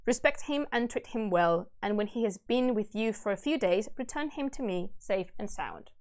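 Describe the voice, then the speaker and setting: A woman's voice: mid-range voice, expressive, moderate pace, darkish tone, natural voice. A woman reading the words of a noble old king and anxious, loving father in a stately, earnest, formal manner. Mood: stately, pleading.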